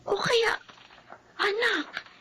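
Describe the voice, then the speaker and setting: A woman's voice: very high-pitched, extremely slow, sharp tone, full character voice. An actress playing a sweet, earnest young girl in a radio drama, with a respectful, plaintive way of talking. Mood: plaintive, yearning.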